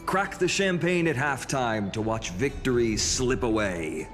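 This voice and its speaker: A young warrior leader from a fantasy game. He sounds composed and gracious, with a teasing, heartfelt streak. Music plays softly behind him. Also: mid-range voice, expressive, a little slow, long reverb tail. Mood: easygoing, teasing. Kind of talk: video game voice acting.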